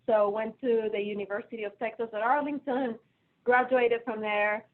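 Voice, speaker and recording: A woman from Colombia with a Colombian Spanish accent, speaking as a guest in a casual remote interview, chatty and self-deprecating. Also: fairly high pitch. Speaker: guest, finance executive. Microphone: video call. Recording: phone-like narrow audio over video call.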